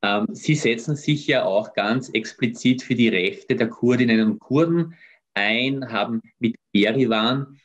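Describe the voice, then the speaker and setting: A man's voice: medium pitch, unhurried, muffled recording. A young man interviewing over a video call, asking questions politely with some hesitant fillers. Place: room at home.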